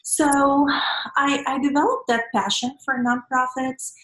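A woman's voice: sits high, fairly even intonation, measured pace, sharp tone. Venezuelan-accented woman in an echoey room. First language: Spanish. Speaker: interview guest, nonprofit professional. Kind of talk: conversational interview, personal storytelling.

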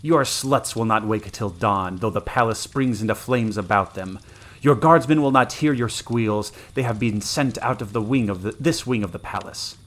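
A man's voice: lowish voice, moderate pace, fairly bright tone, natural voice. A man voicing a cruel, mocking young witch, an evil twin, with sneering, theatrical and grandiose delivery in a dramatic fantasy story. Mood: mocking scorn.

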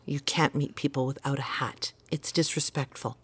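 A woman's voice: rumbling low, fairly even intonation, moderate pace, fairly bright tone, husky, dry recording, exaggerated voice. An actress playing an earnest, exacting mother devoted to tradition in an audio drama, with an insistent, instructive manner. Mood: exasperated. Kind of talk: naturalistic audio drama dialogue.

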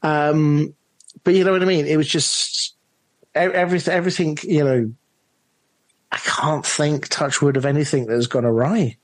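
A man's voice: a little high, fairly even intonation, normal speed, no echo. A man being interviewed as a drama director, chatting in an enthusiastic, emphatic way. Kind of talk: animated interview chat.

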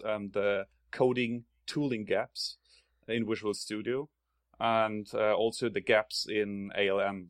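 A man's voice: on the low side, no room sound. A man from Germany with a German accent, a guest on a podcast who talks hesitantly with many fillers. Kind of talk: casual interview talk.

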